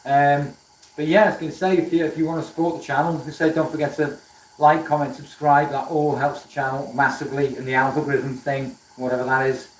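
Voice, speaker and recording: A man's voice: a little high, little pitch movement, fast, far from the mic, a little hiss or hum. Chatty South Welsh man in a roomy workshop. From Wales. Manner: chatty, friendly, greets viewers by name, many fillers. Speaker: woodturning livestream host. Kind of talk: casual live chat while working. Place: workshop with a running lathe.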